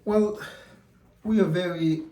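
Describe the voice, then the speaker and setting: A man answering interview questions in a confident, relaxed way. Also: sits high, slow pace. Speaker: guest, mortgage company founder. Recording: echoey room with faint ambience.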